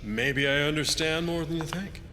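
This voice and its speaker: A man's voice: normal speed, loud music behind the voice, lightly acted. A man playing a smug, rigid villainous security chief in an audio drama, with an officious manner. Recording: echoing hall with faint rumbling noise.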